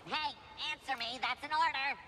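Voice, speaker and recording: A man's voice: very high voice, fairly even intonation, normal speed, sharp tone, heavily acted. A man voicing a hapless, anxious battle droid in a video game, with a nasal, robot-filtered voice and a naive, literal-minded manner. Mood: bossy, fussy. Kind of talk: video game character dialogue. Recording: noisy game capture, long reverb.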